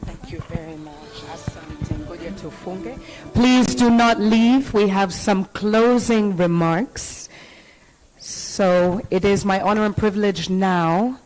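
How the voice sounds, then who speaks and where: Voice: medium pitch, darkish tone, poor audio. Conference moderator, upbeat and directive. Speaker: event moderator. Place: conference hall.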